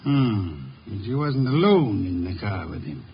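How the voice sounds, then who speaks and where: An actor playing a shrewd, imperious older detective in a 1950s radio drama, speaking formally and deliberately with commanding authority. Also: male voice, fairly low pitch, very animated, husky, full character voice. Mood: imperious, skeptical. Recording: muffled old radio broadcast, phone-like.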